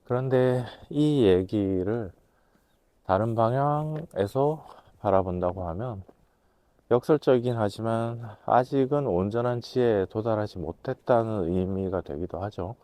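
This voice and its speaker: A man with a voice hoarse from a cold, giving a measured, reflective talk to camera, pausing to think as he explains. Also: on the low side, dark tone, no echo. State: has a cold. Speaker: host, management consultant lecturer.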